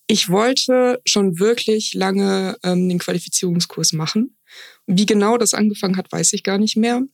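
A woman's voice: mid-range voice, normal speed, gravelly, darkish tone, no room sound, studio quality. A woman in her early thirties answering interview questions in a casual, conversational way. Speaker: podcast guest, hospice volunteer.

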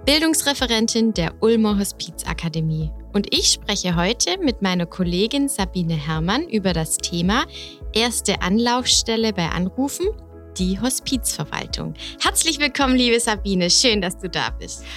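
A woman's voice: mid-range voice, expressive, moderate pace, fairly bright tone, faint music behind. A woman hosting an interview podcast, giving introductions and asking questions. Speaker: podcast host.

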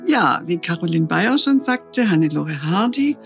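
A middle-aged woman, a volunteer hospice companion, speaking reflectively in a conversational podcast interview, often pausing to restart her sentences. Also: on the low side, animated, vocal fry, soft background music. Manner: reflective, with frequent short restarts.

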